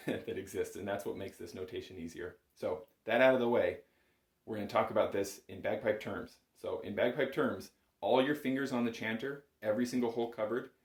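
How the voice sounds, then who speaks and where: A man's voice: fairly low pitch, a little mellow. A man giving a conversational music lesson as an instructor, patient and encouraging, explaining things step by step. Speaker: bagpipe instructor. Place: small home music room, indoors. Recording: roomy indoor echo.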